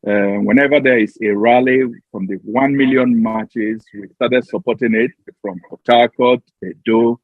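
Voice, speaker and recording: A middle-aged man from Nigeria, answering interview questions over a remote link in a halting, deliberate way. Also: medium pitch, steady tone, no room sound. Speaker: interview guest, diplomatic mission staffer. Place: remote call into a studio. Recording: tinny phone-like remote call.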